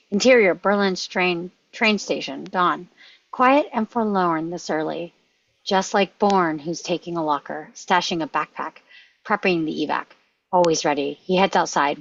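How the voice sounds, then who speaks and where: A woman's voice: lowish voice, measured pace, vocal fry, no echo, dull audio. A woman reading screenplay stage directions aloud in clipped fragments. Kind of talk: script read-aloud.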